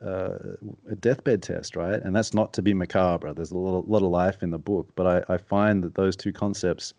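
A man with a very deep, low-pitched voice that comes out mumbly and soft-spoken. He talks quietly and reflectively in a casual interview, close to the microphone. The sound is dry and close, with no room around it. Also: steady tone, normal speed, creaky, no echo. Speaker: podcast guest, author and strategist.

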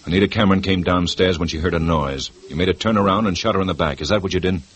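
An actor playing a measured, persistent police detective in a 1950s radio drama. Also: male voice, low voice. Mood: firm. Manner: calm, firm, measured.

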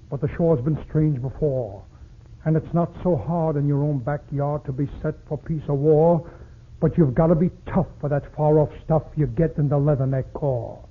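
A man's voice: a little high, breathy edge, phone-quality sound. A man reciting patriotic verse in a theatrical, rhythmic manner, in the style of 1940s radio drama. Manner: theatrical, rhythmic, rhyming delivery. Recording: scratchy, band-limited 1940s radio broadcast.

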